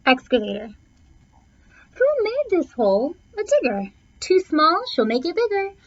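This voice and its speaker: A woman's voice: high-pitched, sing-song, unhurried, slight background noise. A woman reading a rhyming children's picture book aloud with theatrical phrasing, stretching and punching key words. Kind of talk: expressive children's storybook read-aloud, rhyming verse. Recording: dull, lo-fi sound.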